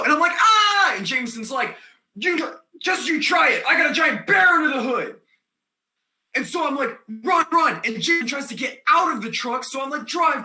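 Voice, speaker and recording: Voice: mid-range voice, sharp tone. An actor playing a scatterbrained, defiant theater student telling a comic monologue in slangy, rambling style, given to sudden outbursts. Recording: echoey room.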